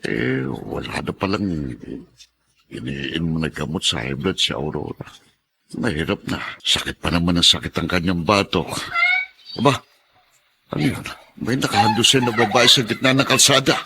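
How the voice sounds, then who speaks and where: A middle-aged husband and tricycle driver in a radio drama. He is kindly and apologetic, with a bright, pressing voice. There is faint noise and ambience behind him. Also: deep voice, lively intonation, moderate pace, long pauses, full character voice. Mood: worried muttering. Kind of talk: Filipino radio drama acting.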